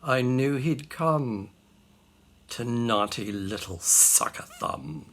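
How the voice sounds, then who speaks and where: A stern storybook mama, as a storyteller acts her out, cautioning her little boy in slow, deliberate verse. The voice is bright and forceful, with a grim, admonishing manner. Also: medium pitch, animated. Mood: grimly resigned. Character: stern mama warning her small son.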